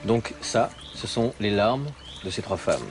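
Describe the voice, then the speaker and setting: A man's voice: on the low side, a little slow, over music. A man, presenting on location and asking questions in a wry, teasing way. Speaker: travel documentary presenter. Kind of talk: conversational interviewing on location. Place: on location in a stone abbey and outdoors.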